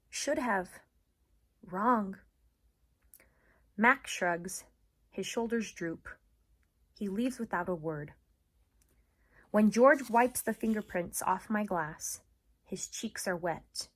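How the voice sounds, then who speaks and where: A woman's voice: mid-range voice, takes it slowly, long pauses, breathy edge. A woman doing an informal read-aloud of a children's story.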